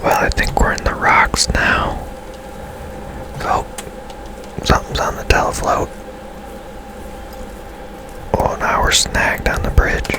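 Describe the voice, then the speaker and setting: A man whispering casual ASMR commentary as he plays a video game, musing in a hushed, unhurried way. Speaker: game streamer, ASMR host.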